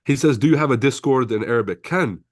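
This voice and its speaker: A brash, profane man from Lebanon hosts a casual trading livestream, reading viewer comments aloud and calling everyone bro. His voice sits at an ordinary male pitch, recorded close and dry with no room sound. French was his first language. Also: fast, no echo.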